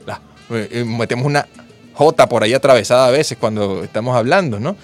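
A Venezuelan man speaks on a radio talk show at a medium pitch, with a Venezuelan accent. He talks in an earnest, patriotic, speechlike way. A faint music bed plays behind him. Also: a little fast. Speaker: radio host.

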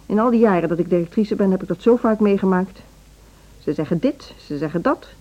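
An actress playing a formal, condescending woman who runs a music school in a radio drama, speaking in a measured, officious way. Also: mid-range voice, dark tone, dull audio, close to an everyday voice. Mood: dismissive, condescending. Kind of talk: Dutch radio drama acting.

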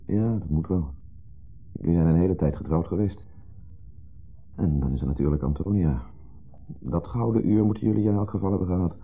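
A low, gravelly, insecure husband in a vintage radio drama. He broods and needles in hesitant, fretful questions. The sound is muffled and narrow, like an old broadcast. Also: full character voice. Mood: jealous, probing. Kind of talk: 1970s Dutch radio play acting. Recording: muffled narrow-band 1970s radio recording.

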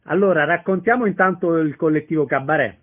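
A man's voice: fairly high pitch, fairly quick. A man introducing and interviewing guests as a radio host. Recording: thin, phone-like band-limited sound.

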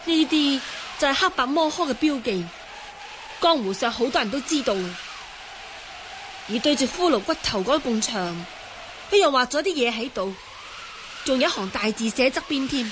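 An earnest young martial-arts heroine in a Cantonese radio drama. Her voice is very high and her delivery is deferential and vivid. Background noise is clearly audible. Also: lively intonation, normal speed, long pauses. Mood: explaining.

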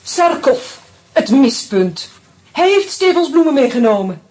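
A woman's voice: high voice, very animated, unhurried, a little mellow. An actress playing a distraught young woman who insists on what she saw, in a radio drama. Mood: bitter. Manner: shaken, insistent.

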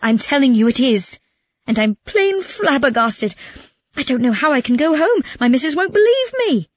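A woman voicing a gullible, miserly man in a storybook, blubbering and yelling in exasperation. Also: sits high, big pitch swings, normal speed, no echo, voice pushed up. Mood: flabbergasted, fretful. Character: gullible miserly man wailing over his stolen pig.

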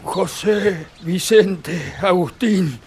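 A man's voice: high-pitched, very slow, loud music behind the voice. A man with a northern Argentine accent playing an agitated, kindly village elder in a radio play. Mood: urgent.

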